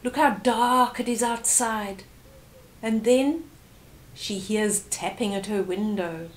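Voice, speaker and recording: A woman's voice: medium pitch, slow, slight background noise. An older woman hosting a story time, reading aloud expressively and explaining things to young children.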